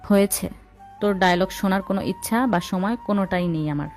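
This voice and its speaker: A woman's voice: mid-range voice, steady tone, quick pace, darkish tone, soft background music, lightly acted. A woman playing a cruel, haughty young rich girlfriend, scornful and dismissive, in an audio love-story drama. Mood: cold contempt.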